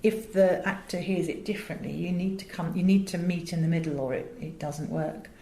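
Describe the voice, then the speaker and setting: An Englishwoman speaks in a slightly low voice in a roomy, echoing space. She explains her craft as a director in articulate, conversational interview talk. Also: fairly even intonation, fairly quick, dark tone. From England. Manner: articulate, illustrates points with acted examples. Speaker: voice director and audiobook producer. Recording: roomy, echoey sound.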